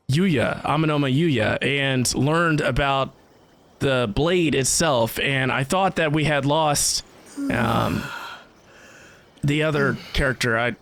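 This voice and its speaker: A man giving casual, conversational reaction commentary as the host of a gaming video. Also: on the high side. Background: soft game music.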